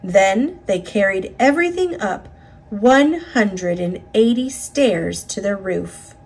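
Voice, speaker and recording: A woman reading a children's picture book aloud in a deliberate, dramatic storytelling manner. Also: mid-range voice, animated, a little mellow, slightly rough, low-quality recording. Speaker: storybook reader.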